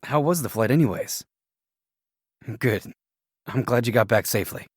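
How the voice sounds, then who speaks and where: A man acts as a young ex-boyfriend in an interactive audio roleplay, reserved yet considerate. His voice is medium in pitch, with a dry sound free of room echo. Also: expressive, moderate pace, husky.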